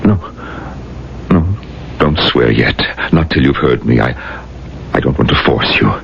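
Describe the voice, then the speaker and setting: Very deep, solemn old rabbi. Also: male voice, vocal fry, heavily acted. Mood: grave, insistent. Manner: grave, admonishing, deliberate oratory. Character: stern, solemn older male rabbi. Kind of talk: 1970s radio drama acting. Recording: muffled, hissy old radio recording.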